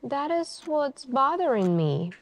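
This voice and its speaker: A woman doing an ASMR roleplay as a suspicious female detective, questioning in a deliberate, pointed way with many pauses. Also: high-pitched, very animated. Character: calm, suspicious, probing female police detective. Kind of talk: ASMR interrogation roleplay, slow deliberate delivery.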